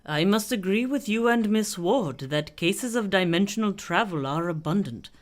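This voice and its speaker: An actor in a radio play voicing a mysterious, well-travelled swami with measured, cryptic, formal diction. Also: mid-range voice, animated, close to an everyday voice.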